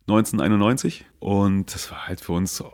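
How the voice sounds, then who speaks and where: A man's voice: lowish voice, a little fast, darkish tone. A man in his forties from northeast Germany, a graffiti artist answering interview questions in an easygoing way, loose with fillers. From Mecklenburg, northeast Germany. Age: 40s. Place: seated indoors in a city.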